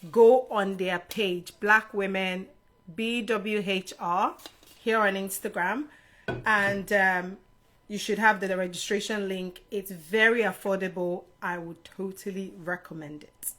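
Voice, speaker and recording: Casting: middle-aged Nigerian-born woman, livestream host, mid-pitched voice, informal and chatty, speaking straight to viewers. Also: fairly bright tone. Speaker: live stream host, HR career adviser. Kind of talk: casual live stream Q&A chat to viewers.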